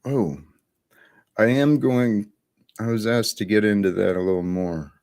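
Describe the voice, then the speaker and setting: A man with a lowish voice hosts a livestream at a very slow, reflective pace. He pauses often and lets his thoughts settle before going on. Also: steady tone, darkish tone. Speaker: livestream host.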